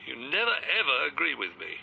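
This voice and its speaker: A man's voice: mid-range voice, very animated, fast talker, gravelly, husky, heavily acted. An actor playing a hesitant, apologetic older Englishman who stammers as he explains himself in a radio drama. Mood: hurt. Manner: apologetic, mild, rambling. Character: timid older man, retired, set out walking. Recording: dull lo-fi audio with faint background noise.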